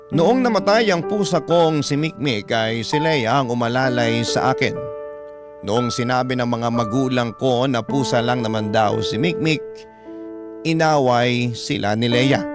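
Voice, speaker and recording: A man with a Filipino accent narrates a scripted, dramatic first-person story in the manner of a radio storyteller. His voice sits at a medium pitch. Music runs clearly behind him.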